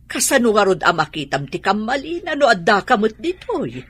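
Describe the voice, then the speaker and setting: A woman's voice: mid-range voice, big pitch swings, moderate pace, fairly bright tone, slightly rough, no room sound. A woman playing a worried middle-aged mother with an Ilocano Filipino accent in a radio drama, questioning with concern. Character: worried, fussing mother of a grown son.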